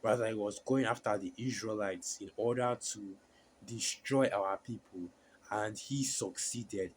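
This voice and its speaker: A man with a hoarse voice from a sore throat, preaching a sermon and reading scripture in a halting way, with many fillers and restarts. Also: on the low side, a little hiss or hum.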